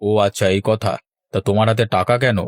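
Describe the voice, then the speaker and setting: A man voicing a stern, principled middle-aged village landowner in a cartoon, speaking in a measured, formal way. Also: lowish voice, fairly even intonation, no room sound, close to an everyday voice. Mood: calm. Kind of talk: cartoon voice acting.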